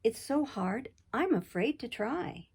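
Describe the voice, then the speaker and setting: A woman's voice: medium pitch, fairly quick, creaky, darkish tone. A woman voicing a modest, sweet-natured young governess in a storybook, with a bashful, sincere manner. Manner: bashful, innocent, hearty.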